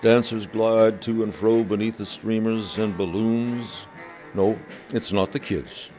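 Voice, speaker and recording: A man's voice: lowish voice, fairly even intonation, slow, dark tone. A man narrating a radio play, setting the scene in a storytelling style. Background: music.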